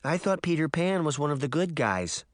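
A man's voice: on the high side, very animated, normal speed, dry recording, studio quality, voice pushed up. A man voicing an innocent, outspoken little girl with a naive, questioning manner in an audiobook. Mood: curious. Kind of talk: audiobook character voice.

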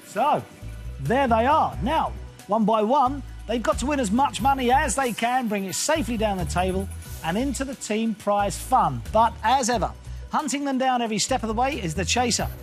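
A man with a notably high voice hosts a quiz show from a TV studio, brisk and playfully teasing as he runs the game. Game show music plays behind him. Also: lively intonation. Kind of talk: upbeat scripted game show presenting. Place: television studio.

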